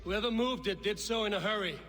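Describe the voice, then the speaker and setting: A man playing a calm, decisive Viking warrior in a video game, speaking in a measured, purposeful way. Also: high-pitched, soft background music. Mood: focused, firm. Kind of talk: video game character acting.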